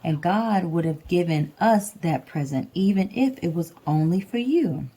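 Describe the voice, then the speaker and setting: Mellow-voiced mother reading aloud. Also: fairly low pitch, lively intonation, unhurried, husky. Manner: patient, explains simply. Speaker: mother reading to her child. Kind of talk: picture book read aloud with casual chat.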